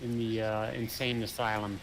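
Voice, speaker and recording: A man's voice: lowish voice, little pitch movement, moderate pace. A man chatting casually while gaming, hesitant and full of fillers as he thinks aloud. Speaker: gamer co-op player.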